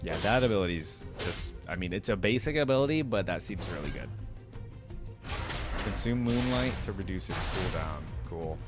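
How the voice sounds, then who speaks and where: A man's voice: deep voice, expressive, normal speed, music in the background. A man hosting a reaction video, talking casually and enthusiastically and reading bits of text aloud. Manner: enthusiastic, informal.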